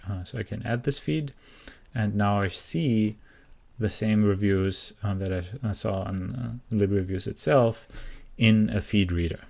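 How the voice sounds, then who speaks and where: A man's voice: lowish voice, gravelly, darkish tone. A man walking through a website in a screencast, explaining plainly with many uh and um fillers. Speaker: software project founder giving a demo. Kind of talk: screencast walkthrough.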